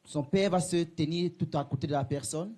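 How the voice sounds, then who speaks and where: A man working as a church interpreter, repeating each phrase right after the preacher. He restarts and corrects himself often, and his voice is slightly high. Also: a little mellow. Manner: quick echoes with self-corrections. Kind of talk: live sermon interpretation.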